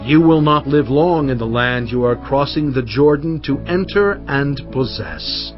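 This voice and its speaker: A man's voice: mid-range voice, lively intonation, measured pace, natural voice. An actor playing an aged prophet and leader, solemn and fervent, addressing his people in a dramatized scripture reading. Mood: solemn urging. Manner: solemn, emphatic oratory. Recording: muffled audio with music underneath.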